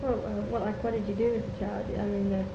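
Female interviewer, informal and hesitant, mid pitch, on a noisy, roomy recording. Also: level tone, fast talker, gravelly. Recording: muffled, noisy, roomy amateur tape.

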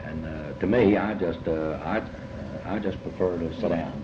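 An older man, being interviewed as a writer and speaking reflectively, with halting, repetitive, rambling phrasing. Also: low voice. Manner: halting, rambling, with many repetitions and long hesitations. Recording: muffled, echoey, noisy amateur interview tape.

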